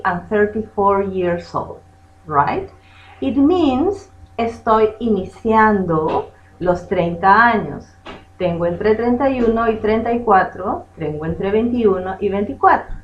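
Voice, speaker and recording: A native Spanish-speaking woman giving a bilingual language lesson as teacher and host, carefully drilling phrases by repetition. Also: fairly high pitch. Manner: bilingual, switches between English and Spanish, has listeners repeat. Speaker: English teacher, channel host. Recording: muffled lo-fi sound in echoey room.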